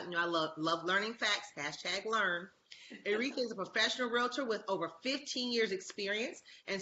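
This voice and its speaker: A woman hosts a casual online talk show, gushing with enthusiasm as she introduces her guests. Her tone is very bright and a little scratchy. The audio is dull and lo-fi, like a video call. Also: medium pitch. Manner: enthusiastic, gushing, reads bio aloud. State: slightly scratchy voice. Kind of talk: casual interview chat.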